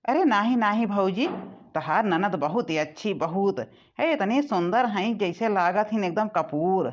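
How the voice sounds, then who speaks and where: A woman with an Awadhi accent voicing an excitable village family man in a cartoon comedy, emphatic and repeating himself, on a lo-fi soundtrack. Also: medium pitch, unhurried, lightly acted. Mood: admiring.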